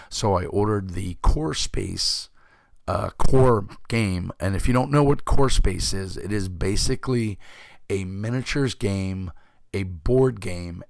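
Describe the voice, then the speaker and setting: Host, fifties, man; very deep gravelly voice, hoarse, rambling casual chat. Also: no room sound, studio quality. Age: 50s. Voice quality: rough, gravelly voice. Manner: rambling, many fillers and throat clearing. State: hoarse, recovering from illness. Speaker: tabletop game channel host.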